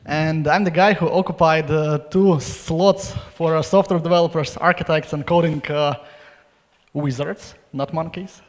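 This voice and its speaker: A young man giving a halting, informal pitch for his conference talk into a handheld microphone. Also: steady tone. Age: young adult. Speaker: conference speaker. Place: stage in a large conference hall. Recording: reverberant hall, live PA sound.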